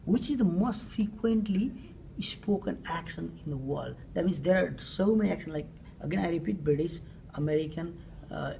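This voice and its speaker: Nepali lady giving a class presentation, low mellow voice, mic kinda far off w faint hiss. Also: expressive, gravelly. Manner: halting, often rephrasing and restating questions. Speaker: student presenter. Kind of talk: presenting survey results. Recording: distant mic with faint room noise.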